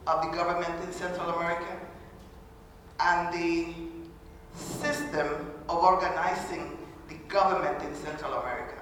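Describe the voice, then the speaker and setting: A woman's voice: lowish voice, fairly bright tone, a little hiss or hum. Woman speaking in an echoey hall. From Honduras. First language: Garifuna. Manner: earnest, halting delivery with many pauses and restarts. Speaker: speaker at a panel event. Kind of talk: formal public talk. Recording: echoey hall from far off, faint ambience.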